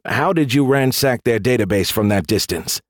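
An irreverent space commander as read by a man in an audiobook. His talk is casual, sarcastic and teasing, at a medium pitch, recorded dry. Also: expressive, moderate pace, no echo, close to an everyday voice. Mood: curious. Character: wisecracking, irreverent male military commander of space pirates. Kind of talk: audiobook character dialogue.

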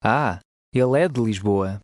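A man's voice: fairly low pitch, measured pace, no echo, studio quality. Man with a European Portuguese accent. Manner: clear, careful repetition of phrases. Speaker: native speaker model in a language course. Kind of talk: scripted phrase modeling.

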